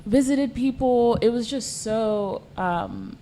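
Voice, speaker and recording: A woman's voice: fairly high pitch, sing-song, slow, warm tone, a little hiss or hum. A woman in her twenties from South Sudan, a podcast guest chatting casually and filling her talk with "like" and "um". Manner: chatty, full of fillers like "like" and "um". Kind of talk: casual chat.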